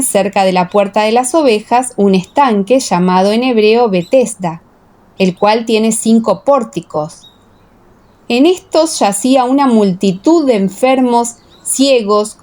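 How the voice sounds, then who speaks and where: A woman's voice: on the high side, darkish tone. A woman reading scripture as the narrator of a dramatized audiobook.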